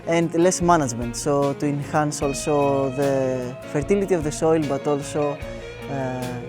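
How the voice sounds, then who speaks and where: A man explaining a volunteer project he coordinates, earnest, pausing with fillers. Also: fairly high pitch. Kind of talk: documentary interview. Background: guitar music.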